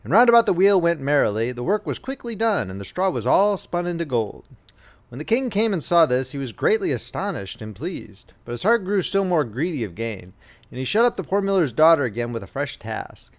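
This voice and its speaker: A man reads as an audiobook narrator in a fairly high male voice. His scripted storytelling is measured and expressive, with very lively swings in pitch.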